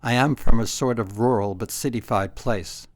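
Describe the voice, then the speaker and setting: A man's voice: mid-range voice, normal speed, no room sound. Older man, dry close recording. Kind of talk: personal memoir recitation.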